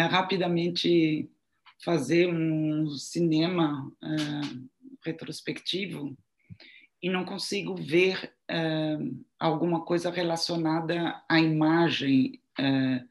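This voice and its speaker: A woman in her sixties, speaking her second language, answers reflectively in an interview, halting as she searches for words. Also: lowish voice. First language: not Portuguese (Portuguese is second language). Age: 60s. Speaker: interviewee, writer and art journalist.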